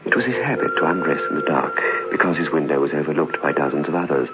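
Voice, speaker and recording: An actor playing the narrator of an old-time radio ghost story, telling it in a measured, suspenseful way. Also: male voice, extremely low pitch, lively intonation, over loud music. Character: storyteller recounting a friend's eerie experience. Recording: muffled old radio broadcast, faint noise.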